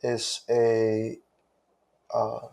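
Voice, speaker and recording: A man from southern China answering interview questions in a measured way, pausing often with "uh". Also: medium pitch, monotone, gravelly, warm tone. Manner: slow, measured, with frequent "uh" pauses. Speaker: interview guest.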